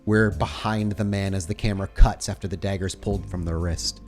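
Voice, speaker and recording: A man's voice: deep voice, steady tone, fairly quick, faint music behind. A man running a tabletop horror game as storyteller, narrating in a descriptive, cinematic way.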